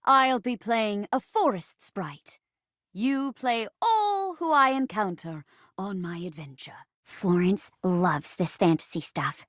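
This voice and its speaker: A woman voicing a playful storyteller in a video game, narrating a fantasy play with grand, deliberate drama. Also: mid-range voice, very animated, a bit bright, no echo, studio quality. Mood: friendly.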